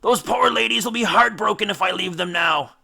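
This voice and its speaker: Man acting a frantic, shouting Greek sea captain. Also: high-pitched, a little fast, creaky, voice pushed up, full character voice. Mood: pleading. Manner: shouting, imperious, desperate.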